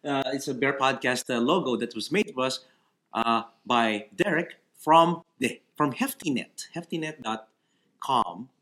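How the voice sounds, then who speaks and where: A man's voice: medium pitch, a little slow, fairly bright tone. A man co-hosting a podcast in casual chat, sounding stuffy and congested, hesitant with many fillers and restarts. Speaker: podcast co-host.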